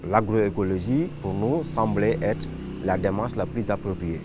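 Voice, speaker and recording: A man's voice: on the low side, measured pace. A man explaining project work in a documentary interview. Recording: faint background noise.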